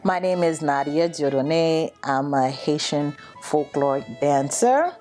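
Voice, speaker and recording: American woman dance educator, low voice, steady earnest answers, faint music. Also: slow. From United States. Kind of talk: interview answers.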